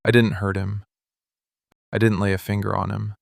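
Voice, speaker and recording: A man acting a possessive, menacing mafia boss in love, speaking slowly, deliberately and intimately in an ASMR roleplay. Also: on the low side, little pitch movement, vocal fry, dry recording, clean studio sound. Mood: cold, possessive. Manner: slow, deliberate, intense, hushed confiding delivery. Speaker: ASMR voice actor. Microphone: close studio microphone, dry.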